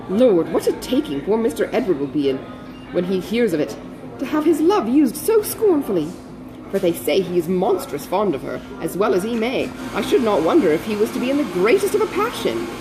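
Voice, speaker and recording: Casting: female reader as excitable older society gossip, good-hearted, breathless, very wide swings in pitch, period novel audiobook. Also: close to an everyday voice, rough recording. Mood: indignant. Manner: breathless, exclamatory, chatty gossip. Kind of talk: dramatised audiobook reading of a classic novel.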